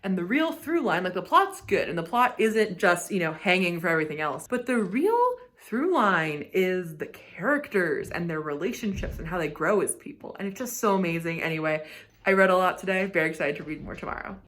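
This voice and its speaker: A woman's voice: fairly low pitch, expressive, a little fast, a bit bright, slight background noise. A woman chatting casually and enthusiastically in a bookish, rambling reading vlog. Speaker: book vlogger and author. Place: on a road trip, in car and hotel rooms.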